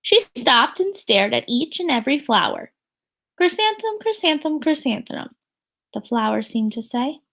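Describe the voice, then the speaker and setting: A woman's voice: a little high, lively intonation, normal speed, vocal fry, hardly any pauses, fairly bright tone. A woman reading a children's picture book aloud expressively, as a teacher would for students.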